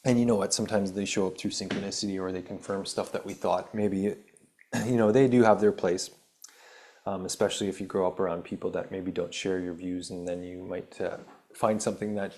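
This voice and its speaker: A man hosts his own healing podcast, his voice sitting fairly low. His voice has gone raspy from reading aloud. He stumbles over words as he reads, then drifts into loose, rambling chat padded with ums and uhs. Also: steady tone, brisk, darkish tone. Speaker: podcast host. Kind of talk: reading an article aloud with casual asides.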